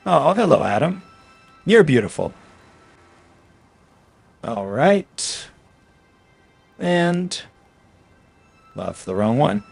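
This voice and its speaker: A man's voice: on the high side, big pitch swings, very slow, gravelly, pauses often, husky, soft background music. A man casually commenting aloud as he plays through a video game on stream. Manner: chatty, reacting aloud as he plays.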